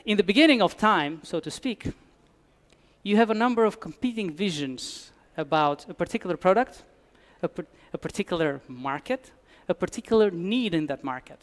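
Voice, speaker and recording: A man's voice: sits high, lively intonation, normal speed. A man from Albania, giving a conference talk with dry humor and frequent restarts. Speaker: conference speaker. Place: conference hall.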